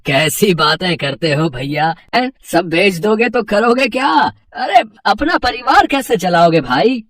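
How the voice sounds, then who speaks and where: A woman's voice: medium pitch, animated, unhurried, dry recording, clean studio sound. A woman voicing a simple, trusting male street vendor and family man in a moral story, earnest and plain-spoken. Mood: friendly, concerned.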